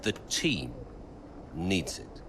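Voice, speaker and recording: A man playing an encouraging team colleague who talks in a quiet, frank, confiding way. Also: deep voice, sing-song, drawn-out words, noisy background, heavily acted.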